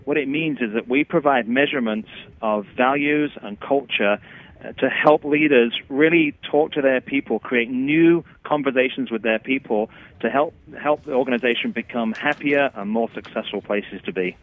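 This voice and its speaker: A man's voice: medium pitch, moderate pace. A man with an English accent, a chief executive and interview guest, explaining his work with vivid examples. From England. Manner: explanatory, paints pictures with examples. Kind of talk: interview answers. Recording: tinny phone line.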